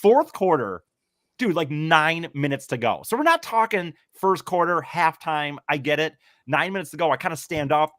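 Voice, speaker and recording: A man co-hosting a podcast, telling stories casually in a loud, animated way. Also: on the high side, moderate pace, dry recording, studio quality. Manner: loud-voiced, animated storyteller.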